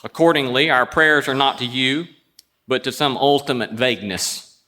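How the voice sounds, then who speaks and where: A man hosting a show, telling prepared stories and introductions in a wry, deliberate way. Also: medium pitch, pushed and bright. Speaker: show host introducing a guest. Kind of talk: prepared storytelling introduction before an audience.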